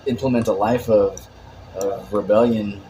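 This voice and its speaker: A man's voice: lowish voice, steady tone, a little slow, warm tone, a little hiss or hum, low-quality recording. A man in casual chat, talking in a rambling way with lots of "like" and restarts.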